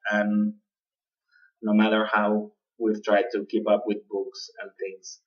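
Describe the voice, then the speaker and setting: Halting man hosting a radio talk. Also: on the low side, monotone. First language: not English. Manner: halting, many uh fillers and restarts. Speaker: radio show host. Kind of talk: political commentary monologue. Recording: distant, dull lo-fi sound.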